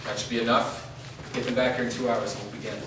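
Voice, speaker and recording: A man's voice: medium pitch, little pitch movement, fast talker, natural voice. A man playing a terse, grim fighting leader in a staged fantasy drama reading. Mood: grim resolve. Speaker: actor. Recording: echoey room, distant mic, clear noise.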